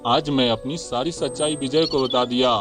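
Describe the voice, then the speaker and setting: A man's voice: medium pitch, fairly even intonation, normal speed, fairly bright tone, soft background music, lightly acted. A man voicing a stern, greedy elder brother in a moral story, curt and dismissive. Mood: smug. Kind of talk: animated moral story dialogue.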